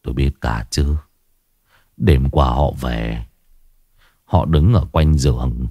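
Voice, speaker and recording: A man voicing a gaunt, haunted old man who is half out of his mind, muttering low and slow. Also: warm tone, breathy edge, no echo, clean studio sound, heavily acted. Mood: haunted dread.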